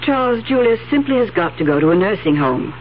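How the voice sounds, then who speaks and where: An actress playing an impatient, resentful wife in a 1970s radio drama. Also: female voice, medium pitch, sing-song, fast, slight background noise, close to an everyday voice. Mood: exasperated. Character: impatient, resentful wife, sick of caring for in-laws. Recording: tinny 1970s radio broadcast, faint music.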